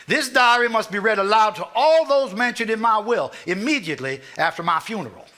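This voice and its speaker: A man with a Southern US accent playing a formal, measured family lawyer reading a will aloud in a live stage drama. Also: high-pitched, expressive, sharp tone. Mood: solemn, formal. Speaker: stage actor.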